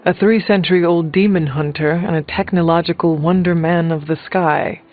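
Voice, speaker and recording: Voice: medium pitch, steady tone, normal speed, natural voice. An actor playing a solemn centuries-old demon hunter in a video game, speaking formally and earnestly. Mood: earnest, dry. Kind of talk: video game character dialogue. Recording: muffled lo-fi game audio, music behind.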